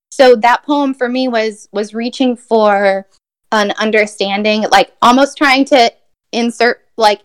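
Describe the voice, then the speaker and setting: A young woman poet from Brazil speaks in a smooth, clear voice. She answers an interview question thoughtfully, pausing and restarting often. Her pitch sits in a middle range for a woman. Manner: thoughtful, many fillers and restarts, soft laughs. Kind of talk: reflective conversational interview answer.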